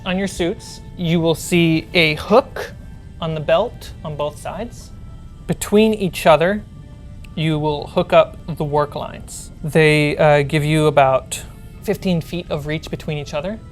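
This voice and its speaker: A woman's voice: low voice, slow pace. A woman narrating a tabletop role-playing game as game master, explaining things step by step. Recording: background music under the voice.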